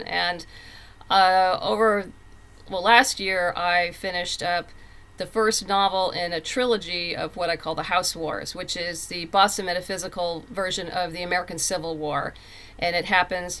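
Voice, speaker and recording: A woman's voice: on the low side, fairly even intonation, normal speed, a bit bright. A woman talking conversationally as a writer being interviewed, telling stories and acting out quotes as she goes. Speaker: comic book writer and guest.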